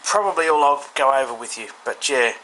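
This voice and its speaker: A man's voice: medium pitch, lively intonation, a little fast, sharp tone, low-quality recording. An Australian man giving a casual, unscripted product review indoors, thinking aloud in an informal, hesitant way. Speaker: hobbyist radio reviewer. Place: indoors, in a room. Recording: clear background noise, indoor home recording.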